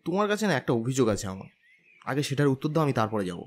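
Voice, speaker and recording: A man's voice: medium pitch, fast. A man playing a smug young man in an audio story, teasing his family with a cocky manner. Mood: sulky. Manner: teasing, lively. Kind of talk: Bengali audio story acting.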